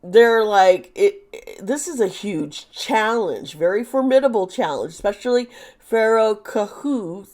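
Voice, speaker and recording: A hoarse woman who is losing her voice, talking through a tarot topic in a rambling, chatty way with sarcastic asides. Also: medium pitch, expressive, unhurried, a little mellow. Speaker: tarot reader. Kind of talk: casual monologue.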